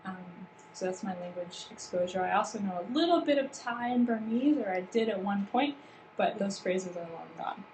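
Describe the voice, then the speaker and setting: A woman's voice: mid-range voice, animated, normal speed, darkish tone. A woman leading a staff training session, explaining ideas at length with frequent fillers and restarts. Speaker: workshop trainer. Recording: roomy with background noise.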